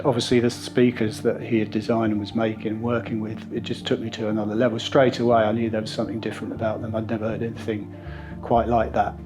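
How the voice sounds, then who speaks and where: Clear background music with guitar sits behind a middle-aged man giving an interview testimonial. His pitch is average for a man, and he talks in a conversational, reflective way with evident enthusiasm. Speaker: sound system installer interviewee.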